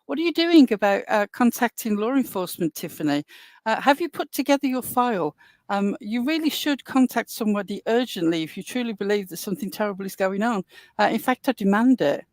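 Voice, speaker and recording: A woman's voice: mid-range voice, expressive, brisk, darkish tone, no echo. A woman panelist in a casual chat, delivering dry, sarcastic remarks.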